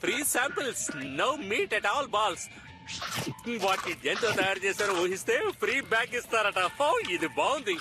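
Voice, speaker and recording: An actor playing a fussy but affectionate dad in a Telugu cartoon dub. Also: male voice, high voice, moderate pace, close to an everyday voice. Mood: curious, keen. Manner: animated, fussy. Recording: loud music bed under the voice. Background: loud cartoon music.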